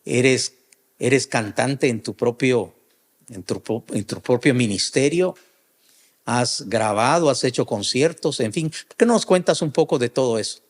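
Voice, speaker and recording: A middle-aged man, a pastor hosting a podcast interview, speaking deliberately in short measured phrases. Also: mid-range voice, lively intonation.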